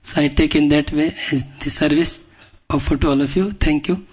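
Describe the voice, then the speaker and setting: A man with an Indian accent giving a devotional scripture lecture as a guest preacher, speaking humbly and haltingly and often clearing his throat. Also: fairly high pitch, steady tone, darkish tone. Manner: humble, deferential. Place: speaking at a temple.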